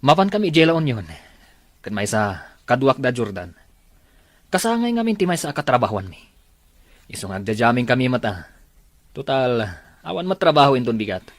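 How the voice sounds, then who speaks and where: A man's voice: mid-range voice, very animated, normal speed, pauses often, no room sound, lightly acted. A man with a Filipino accent playing a selfish, demanding young boyfriend in an audio drama, curt and complaining. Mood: dismissive.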